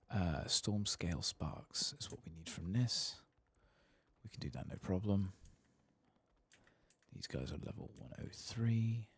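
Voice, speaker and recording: A man doing soft-spoken ASMR commentary as he plays a game, murmuring to himself in a low-key way. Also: breathy edge. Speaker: game streamer, host of an ASMR playthrough. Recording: keyboard and mouse clicks behind voice.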